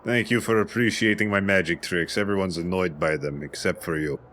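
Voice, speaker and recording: A man's voice: low voice, fairly quick, fairly bright tone, a little hiss or hum. A man chatting casually as a game co-commentator, tossing in quips. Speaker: let's play co-commentator. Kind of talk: casual chat.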